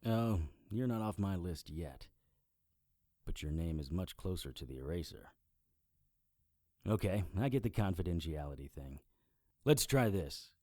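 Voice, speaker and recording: A man's voice: deep voice, animated, unhurried, long pauses, a little mellow, dry recording. An actor playing a wisecracking private investigator who narrates his own story in a radio drama. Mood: sardonic. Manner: dry, sardonic wisecracks. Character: Harry Strange, wisecracking male private investigator. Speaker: narrator and lead character. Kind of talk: hardboiled first-person narration.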